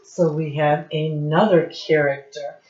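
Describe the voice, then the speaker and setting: A woman teacher reading a storybook aloud to young children in a deliberate, instructive way, pausing to ask them questions. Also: low voice, steady tone, darkish tone, lo-fi sound.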